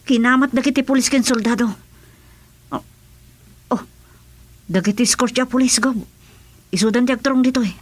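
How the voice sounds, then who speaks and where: A woman acting an excitable companion to a governor in a radio drama. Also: fairly high pitch, normal speed, long pauses, slight background noise, lightly acted. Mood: agitated.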